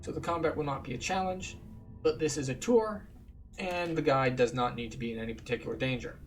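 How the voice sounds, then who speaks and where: A man's voice: medium pitch, fairly quick, soft background music. A man giving casual, explanatory walkthrough commentary as a video game guide. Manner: informal, explanatory. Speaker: gaming guide host.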